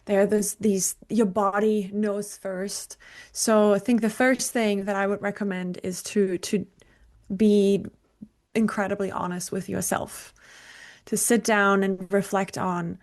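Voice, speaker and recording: A woman's voice: mid-range voice, level tone, takes it slowly, a little mellow. A woman in her forties speaks with a German accent, upbeat and motivational. She talks in a loose conversational way as an interview guest and often restarts her sentences. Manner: enthusiastic, motivational, frequent fillers and restarts. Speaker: guest, business coach.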